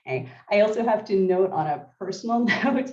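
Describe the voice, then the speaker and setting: A woman from California giving remote welcome remarks as an institute president, hoarse and clearing her throat often. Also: mid-range voice, expressive, a little mellow. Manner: frequent throat clearing, measured. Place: at home, remote video link. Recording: dull, echoey remote audio.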